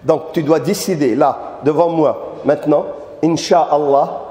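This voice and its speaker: An older man preaching a mosque lecture into a handheld mic, lively, and often asking his audience questions directly. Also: a little high, measured pace. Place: mosque hall. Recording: echoey hall with long reverb.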